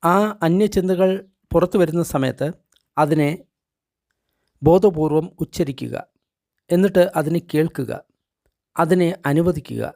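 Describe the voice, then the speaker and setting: Higher-voiced man guiding meditation. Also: measured pace, vocal fry, long pauses, a little mellow, dry recording, clean studio sound. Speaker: meditation guide. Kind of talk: guided meditation narration, translated text read aloud.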